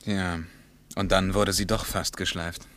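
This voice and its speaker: Low-voiced, musing male traveller. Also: flat delivery, a little slow, a bit bright. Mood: musing, grave. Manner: emphatic, musing, declamatory. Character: awed, reflective German man, a war veteran traveller. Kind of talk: radio play acting.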